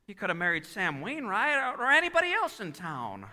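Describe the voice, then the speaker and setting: A man's voice: sits high, wildly swooping pitch, fairly quick, sharp tone, full character voice. An actor playing an earnest, flustered small-town family man in a 1940s-style radio play, stammering and repeating himself. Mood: anguished, apologetic. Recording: live stage taping in echoey hall.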